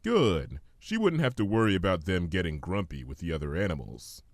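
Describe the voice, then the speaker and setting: A man reading a story aloud as scripted, expressive narration. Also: low-pitched, brisk, no echo. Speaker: fan fiction reader.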